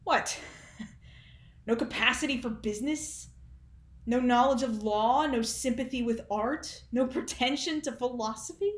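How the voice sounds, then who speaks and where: A woman's voice: a little high, a little slow, roomy sound, voice pushed up, lightly acted. A woman playing a cynical, cruel arms-tycoon father in a stage monologue, with mocking, pompous, theatrical delivery. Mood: mocking amazement. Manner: sneering, pompous, theatrical oratory.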